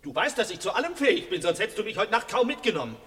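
Radio crime drama: edgy young criminal, high, dry-miked, blustering but scared. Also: male voice, big pitch swings, racing, pushed and bright, slightly rough, no room sound, heavily acted. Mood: edgy, threatening. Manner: agitated, stammering repetitions, blustering. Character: nervous young male crook, threatening yet easily frightened.

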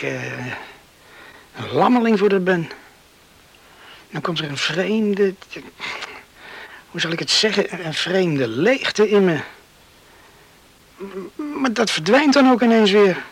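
An actor playing a troubled young farm man in a Dutch radio play, pensive and halting. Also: fairly high pitch, pushed and bright, husky, full character voice.

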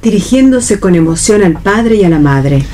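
A woman's voice: medium pitch, animated, normal speed, warm tone, slight background noise. A woman reading stage directions as narrator of a radio play. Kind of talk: read narration for radio theatre.

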